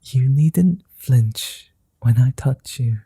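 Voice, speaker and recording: A man voicing a flirty, tender male vampire in an ASMR roleplay, speaking slowly, softly and formally, often in whispers, close to the mic. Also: medium pitch, slightly rough, no room sound, exaggerated voice. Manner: slow, soft and often whispered; old-fashioned formal speech.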